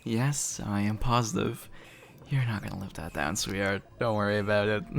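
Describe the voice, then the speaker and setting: Man acting a low, gravelly, flirty arcade nerd. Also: unhurried, a little hiss or hum. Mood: amused, easygoing. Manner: casual, teasing, chuckles often, slightly bashful. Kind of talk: intimate audio roleplay, one-sided casual dialogue. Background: music.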